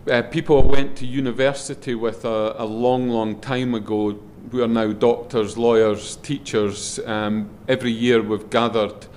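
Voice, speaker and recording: A middle-aged man with a naturally loud voice chats in an easygoing, rambling way, pausing often on ums and picking his sentences up again. His pitch sits in the ordinary middle, and a faint rumble of noise runs under the recording. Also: little pitch movement. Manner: rambling, with frequent ums and restarts. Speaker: guest, head of a schools group. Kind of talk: relaxed conversational interview.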